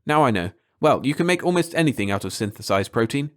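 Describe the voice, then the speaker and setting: A man's voice: mid-range voice, expressive, quick pace, lightly acted. A man voicing an eager, stubborn inventor who insists on getting his way. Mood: insistent. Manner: insistent and clamoring.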